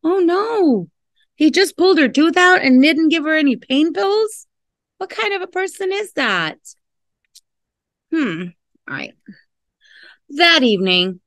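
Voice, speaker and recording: A woman's voice: fairly high pitch, no room sound. A middle-aged woman, a teacher reading a picture book aloud with chatty, friendly asides to young listeners. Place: indoors in a classroom.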